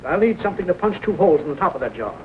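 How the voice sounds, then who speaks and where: Tinny old-film country doctor, grave. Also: male voice, high-pitched, very animated. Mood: urgent, commanding. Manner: issues short, measured orders. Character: grave, commanding small-town country doctor. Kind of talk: 1940s film acting. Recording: narrow tinny old film soundtrack, hiss.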